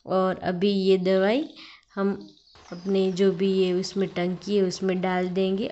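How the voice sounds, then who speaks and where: A woman's voice: mid-range voice, warm tone. Woman, casual farm vlog narration, outdoors, phone mic, muffled audio. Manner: chatty, addresses viewers as friends, many fillers. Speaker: vlogger narrating farm work. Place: outdoors on a farm near a noisy tractor.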